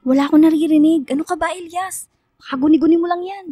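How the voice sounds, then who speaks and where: A woman's voice: very high voice, fast, dark tone, dry recording, clean studio sound. A young woman playing a skeptical, easily spooked student in a Filipino radio drama, teasing and emphatic. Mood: annoyed, scared.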